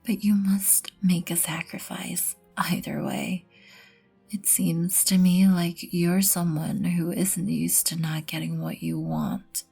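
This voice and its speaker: A woman performs a regal vampire queen for an ASMR roleplay, low and breathy with a creaky edge. She speaks softly and with measured poise, haughty yet wryly merciful, over background music. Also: flat delivery, heavily acted. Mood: wry, imperious. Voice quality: breathy, often near whisper.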